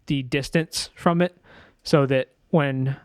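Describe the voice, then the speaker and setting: A man's voice: mid-range voice, steady tone, slow pace, a little mellow, smooth, dry recording, clean studio sound. A man giving a casual screen-share tutorial as a sound designer, thinking aloud informally and correcting himself as he goes.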